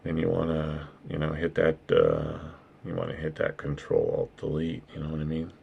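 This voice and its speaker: A man putting on a quiet, seductive voice as if reading a romance novel aloud, in a hushed murmur. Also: very deep voice, big pitch swings, moderate pace, creaky, husky, lo-fi sound, pitched below the performer's own voice, full character voice. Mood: teasing. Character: sultry adult male romance-novel reader.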